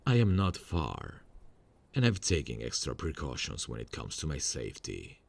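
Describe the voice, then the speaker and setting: A man voicing a soft-spoken male serial killer in an ASMR audio drama, calm and quietly menacing, often close to a whisper. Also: normal speed, heavily acted. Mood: friendly. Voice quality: gravelly, husky low voice. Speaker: voice actor.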